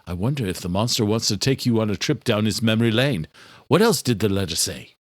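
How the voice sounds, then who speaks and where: A man's voice: lowish voice, expressive, fairly quick, no echo, studio quality. A man voicing an earnest, worried doctor in a thriller audiobook, explaining with care and loyal concern. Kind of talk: horror thriller audiobook character.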